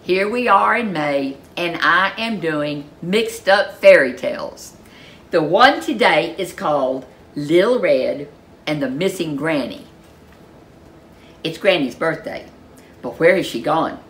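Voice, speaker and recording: A woman storyteller reading a children's storybook in an expressive, animated way, with dramatic emphasis. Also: low-pitched, takes it slowly.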